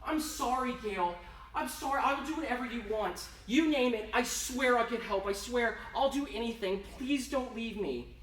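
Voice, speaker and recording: Man as anxious self-loathing husband, desperate begging, raised pitch, story reading, roomy, distant mic. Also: moderate pace, a bit bright. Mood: desperate pleading. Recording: roomy, distant, noisy live event recording.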